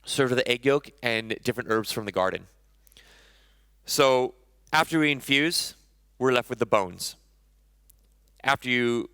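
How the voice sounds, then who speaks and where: A man's voice: mid-range voice, creaky, sharp tone, dry recording. A man in his thirties, a chef giving a conversational conference talk on stage, often correcting himself mid-sentence. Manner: conversational, self-correcting, enthusiastic about food.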